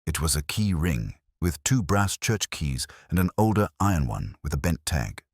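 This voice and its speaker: Male audiobook narrator for literary crime fiction; rumbling bass, animated phrasing, close dry recording. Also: normal speed, no echo. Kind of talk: scripted literary mystery narration.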